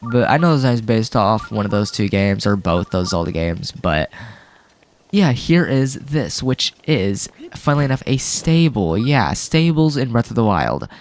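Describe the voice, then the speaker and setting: A man's voice: medium pitch, very animated, measured pace, a little hiss or hum. A man hosting a let's-play, getting over a cold with a lingering cough, rambling casually with lots of fillers. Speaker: gaming video host.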